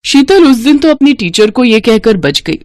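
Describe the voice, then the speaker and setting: A woman's voice: on the high side, sing-song, normal speed, a little mellow, no room sound, studio quality. A woman reading scripted narration as the storyteller of a dramatized tale.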